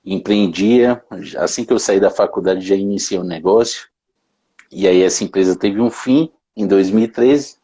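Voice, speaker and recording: A man, answering interview questions in a relaxed way, often adding tag questions. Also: sits low, moderate pace, husky. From Bahia, Brazil. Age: 30s. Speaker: interview guest.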